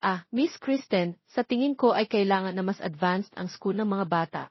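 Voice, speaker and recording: A woman's voice: mid-range voice, expressive, normal speed, breathy edge. A woman voicing a polite, exasperated schoolteacher who explains things, in a story. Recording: muffled lo-fi, roomy echo.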